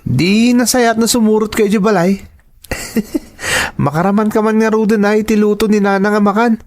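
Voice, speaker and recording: A man's voice: sits high, normal speed, close to an everyday voice. A man playing a good-natured young student and devoted son in a radio drama, boyish and playful, with an Ilocano accent. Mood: friendly, inviting.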